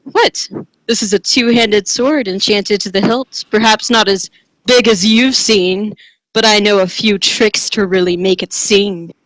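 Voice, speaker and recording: A woman's voice: medium pitch, steady tone, unhurried, a bit bright, dry recording, natural voice, low-quality recording. Woman putting on a pompous, boastful paladin. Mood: indignant. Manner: pompous, blustering, stammering when flustered. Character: boastful, handsome mustached male paladin, easily flustered.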